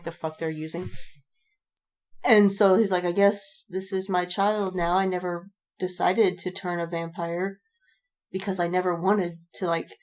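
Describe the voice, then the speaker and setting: A woman's voice: on the low side, darkish tone. A woman podcast co-host chatting casually, telling stories in a rambling way with acted-out quotes and frequent swearing. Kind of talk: casual chat.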